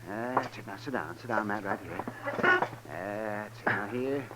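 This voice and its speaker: An actor playing a fussy, kindly old American town doctor in a 1950s radio western, muttering and repeating himself. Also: male voice, lowish voice, measured pace, natural voice. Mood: fussing. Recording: faint hiss, old radio recording.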